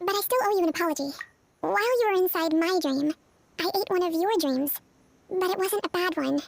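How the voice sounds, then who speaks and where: A woman's voice: very high-pitched, vocal fry, a little hiss or hum. An actress playing a young female dream-eating spirit who works as a therapist in a video game, polite, composed and reassuring. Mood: apologetic. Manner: measured, courteous, reassuring. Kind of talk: video game character voice acting.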